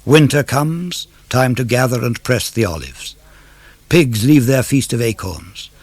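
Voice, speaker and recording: A man reads classical poetry aloud for radio. His phrasing is measured and declamatory, with a gravelly edge to the voice. The sound is dry and intimate. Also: mid-range voice, animated, darkish tone, no echo. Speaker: poetry reader. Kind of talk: dramatic verse reading of classical poetry for radio.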